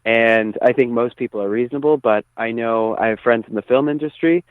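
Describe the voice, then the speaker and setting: A man's voice: medium pitch, fairly quick, no echo. A man chatting as an interview guest over the phone, choosing his words thoughtfully. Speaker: musician guest. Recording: tinny narrow phone line.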